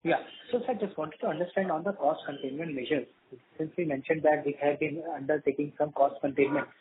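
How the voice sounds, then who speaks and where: A man's voice: a little high, fairly even intonation, a little fast. A man politely putting questions as an analyst on an earnings call. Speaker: financial analyst asking questions. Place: phone call. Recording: thin phone line with clear noise.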